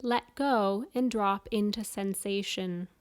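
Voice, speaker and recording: Female meditation guide, unhurried and soothing, clear smooth tone, mid-range pitch, leaves space between instructions. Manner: soothing, measured instructions with pauses. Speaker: yoga nidra guide.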